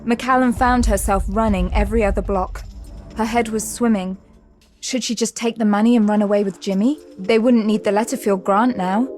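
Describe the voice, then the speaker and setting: A woman's voice: medium pitch, fairly even intonation, moderate pace, a little mellow, close to an everyday voice. A woman narrating a sci-fi audio drama in a grave, suspenseful storytelling style. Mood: tense. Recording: prominent dramatic music under the voice.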